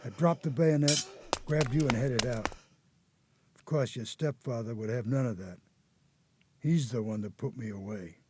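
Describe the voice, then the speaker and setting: An actor playing a haunted old grandfather, an escaped convict telling his story haltingly in a radio drama. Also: medium pitch, a little mellow, husky, no echo, natural voice. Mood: haunted, agitated. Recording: noticeable background noise.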